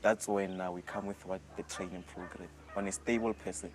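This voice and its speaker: A man's voice: deep voice, fairly even intonation, fairly quick. A man in his early twenties with a South African accent, giving a personal testimony as a volunteer in an interview style. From rural South Africa. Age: early 20s. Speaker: youth retreat volunteer.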